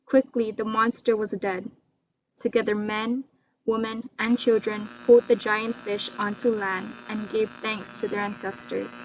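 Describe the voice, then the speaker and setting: A woman storyteller giving a scripted, dramatic narration of a folktale. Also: mid-range voice. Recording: dull lo-fi recording with loud mains hum.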